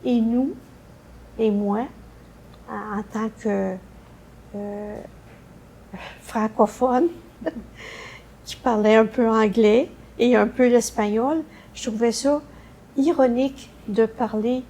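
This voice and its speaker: An older woman with a Quebec French accent recounting memories in a halting way, searching for her words. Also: a little high, fairly even intonation, warm tone, a little hiss or hum, low-quality recording. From Canada. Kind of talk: unscripted personal recollection.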